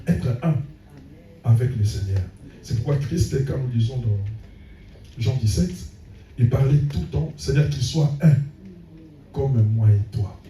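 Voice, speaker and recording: A man's voice: fairly high pitch, mellow, slight background noise. A man preaching a sermon as pastor, punctuating his points with frequent calls of amen. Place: church hall. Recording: echoey church room, voice from a distance.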